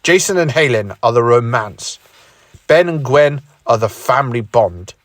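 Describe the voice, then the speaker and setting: A man voicing a stern older male time traveller who explains things in a steady way. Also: medium pitch, a little slow, dry recording, voice pushed down, close to an everyday voice.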